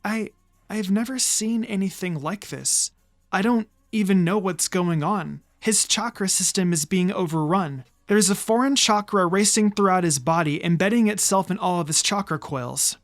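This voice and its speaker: Narrator doing a soft, timid young ninja girl. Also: medium pitch, normal speed, natural voice. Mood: alarmed, unsure. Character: gentle, worried, timid teenage girl ninja.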